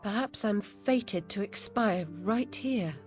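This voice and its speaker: An actress playing a troubled young Victorian woman in a dark fantasy game, English-accented, prim and sardonic. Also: mid-range voice, slow, loud music behind the voice, lightly acted. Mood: anguished.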